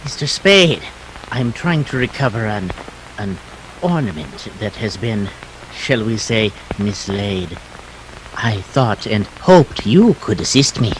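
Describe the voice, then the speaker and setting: A man's voice: on the low side, sing-song, background noise. Man playing a courteous, menacing crook, swooping delivery. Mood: smoothly courteous. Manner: formal, precise, halting politeness. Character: fussy, courteous Greek man, menacing yet slippery crook. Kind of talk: 1940s detective radio play acting.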